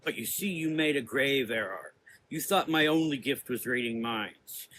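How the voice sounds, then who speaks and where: A man playing a menacing, cerebral gothic sorcerer in an audio drama, lecturing deliberately in drawn-out, taunting phrases. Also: medium pitch, animated, fairly bright tone, slight background noise, lightly acted, poor audio. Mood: accusing.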